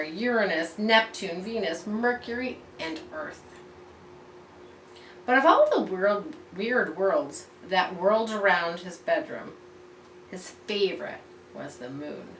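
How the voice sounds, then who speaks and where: A woman reading a picture book aloud to children in an expressive, teacherly way, pausing to explain and point things out. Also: medium pitch. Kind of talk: read-aloud storytelling with explanatory asides. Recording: roomy echo, distant mic, faint background noise.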